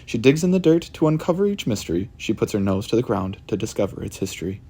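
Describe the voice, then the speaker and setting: A man reading his own rhyming children's book aloud, expressive and animated. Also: lowish voice, quick pace, husky. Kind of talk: rhyming storybook read-aloud.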